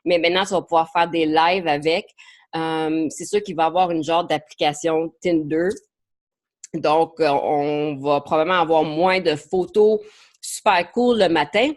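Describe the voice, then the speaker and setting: A woman's voice: deep voice, a little slow. A woman with an English Canadian accent whose first language is English, leading a live online training session as a marketing coach. She talks in a chatty way, slips English words into her French, and often hesitates and repeats words.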